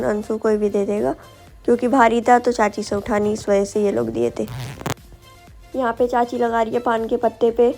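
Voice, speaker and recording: A woman's voice: a little high, warm tone. Woman vlogger with a sore throat. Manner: explaining each step. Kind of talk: voice-over narrating a family festival.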